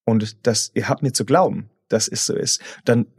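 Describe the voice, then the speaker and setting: A man's voice: lowish voice, moderate pace, husky, no room sound. Blunt man co-hosting a podcast, dry sound. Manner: blunt, repeats words when restarting. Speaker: podcast co-host. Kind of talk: casual chat.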